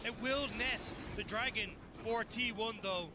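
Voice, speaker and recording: A male esports analyst explains the match in a strikingly high voice. Clear background noise runs under his live commentary. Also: a little slow.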